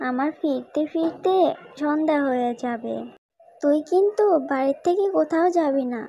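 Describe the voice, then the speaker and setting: A woman voicing a patient, motherly fox in a children's cartoon, explaining things gently. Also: high-pitched, moderate pace, no room sound, lo-fi sound. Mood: patient, apologetic. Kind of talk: children's cartoon story voice acting.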